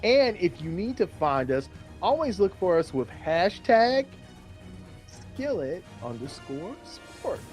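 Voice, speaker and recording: Guy cohosting sports show, kinda high voice, playful banter, repeats himself, bit of music behind. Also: very animated, slow pace, darkish tone.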